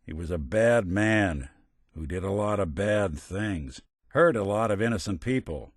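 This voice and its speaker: Hardboiled robot gumshoe, American accent, low-pitched, wry, streetwise, world-weary, dry close recording, video game dialogue. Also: male voice, animated, a little slow, no echo. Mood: grim storytelling. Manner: wry, streetwise, old-time gumshoe delivery. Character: world-weary, wisecracking robot private detective, hardboiled and principled. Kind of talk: scripted game dialogue in a hardboiled noir detective manner.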